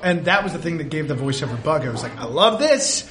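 High, playful man in casual chat. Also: sing-song, fast, echoey room, noisy background. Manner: playful, giggly, lots of fillers. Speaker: voice actor, podcast host.

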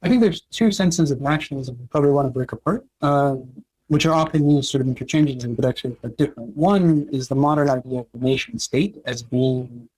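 A man answering interview questions about history, measured and explanatory, often checking in with "right?". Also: medium pitch, darkish tone, husky, no echo, poor audio. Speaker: historian guest.

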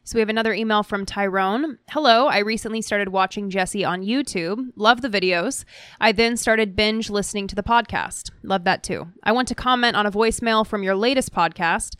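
A woman co-hosting a podcast, reading listener messages aloud in a measured, articulate manner. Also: mid-range voice, a bit bright, smooth, dry recording, studio quality. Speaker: podcast co-host.

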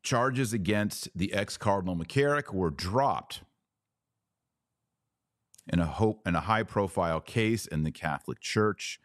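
A man with a very low voice delivers opinionated news commentary as a podcast host. He sounds indignant and emphatic, leaning on short, punchy phrases that he repeats. The sound is dry and close, with no room around it. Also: no echo.

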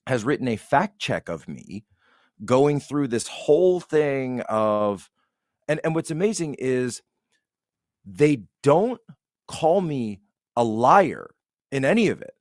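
A man's voice: medium pitch, very animated, slow pace, long pauses, a little mellow, no room sound, studio quality. A man giving casual political commentary as a podcast guest, emphatic and repeating phrases to make his point.

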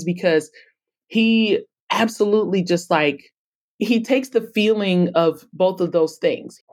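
A woman's voice: lowish voice, a little mellow. A woman chatting casually as a podcast guest, explaining things in a rambling, emphatic way. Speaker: podcast guest, author and publisher. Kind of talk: casual interview chat.

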